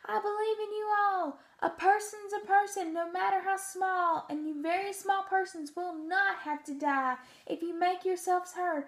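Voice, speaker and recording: Woman voicing an earnest, urgent high-pitched storybook elephant. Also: steady tone, moderate pace, hardly any pauses. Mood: desperate encouragement. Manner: emphatic, urgent. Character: earnest, kindly, anxious male elephant pleading loudly.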